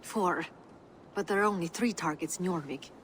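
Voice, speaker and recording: A woman's voice: lowish voice, normal speed, close to an everyday voice. A woman playing a composed, authoritative Viking-age leader in a video game, serious and businesslike. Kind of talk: video game dramatic acting. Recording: clear background ambience noise.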